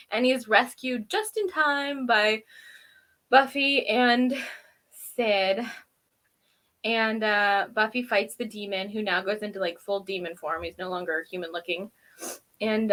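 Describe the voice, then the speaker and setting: A woman with a high, very bright voice recaps a show in a casual, chatty way. She is giggly and animated, and slips into acting out imagined reactions as she co-hosts. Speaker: co-host of a TV review show.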